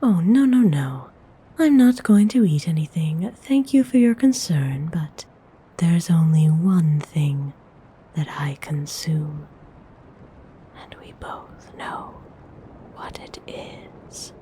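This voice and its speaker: A woman voicing a gentle, courteous vampire noblewoman in an ASMR roleplay, whispering with breathy, husky, tender formality. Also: deep voice, a little hiss or hum. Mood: gently refusing. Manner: slow, hushed, tenderly formal.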